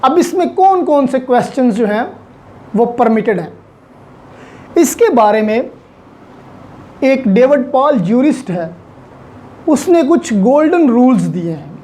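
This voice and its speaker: A man's voice: extremely high pitch, lively intonation, measured pace, pauses often, a little mellow. A man with a Pakistani accent giving an explanatory lecture as a law teacher, repeating and rephrasing points and mixing in English terms.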